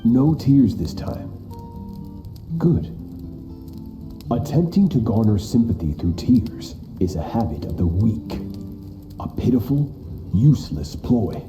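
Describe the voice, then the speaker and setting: An actor playing a ruthless, battle-hungry sword master in a video game, speaking in a cold, haughty, grandiose manner. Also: male voice, fairly low pitch, very animated, takes it slowly, pauses often, warm tone, faint music behind. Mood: stern, scornful. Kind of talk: dramatic video game dialogue.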